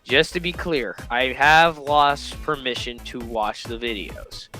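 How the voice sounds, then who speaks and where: A man's voice: medium pitch, slow pace, pushed and bright, soft background music. A man giving casual, chatty commentary as host of a reaction video, emphatic and repeating himself.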